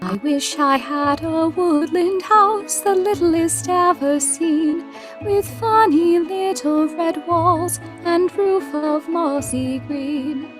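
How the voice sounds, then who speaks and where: A woman's voice: very high voice, takes it slowly, music in the background. A woman playing a prim, sweet, motherly little girl in an audio play. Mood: wistful.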